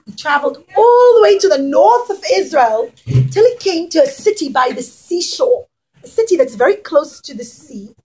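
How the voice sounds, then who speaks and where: A woman storyteller and teacher addressing young children directly, explaining as she goes in an expressive, interactive way. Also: sits high, low-quality recording.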